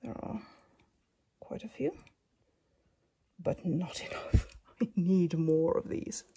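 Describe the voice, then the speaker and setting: Husky whispery Finnish woman. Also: lowish voice. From Finland. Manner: soft, often whispery, unhurried, many ums. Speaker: collector giving a tour. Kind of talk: casual narrated collection tour. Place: indoors at display cabinets at home.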